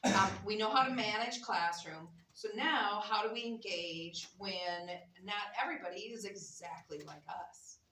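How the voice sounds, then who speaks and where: A woman's voice: mid-range voice, unhurried, pushed and bright. A woman instructor chatting to her class as she introduces a guest speaker, with some fillers. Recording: echoey classroom from far off with noise.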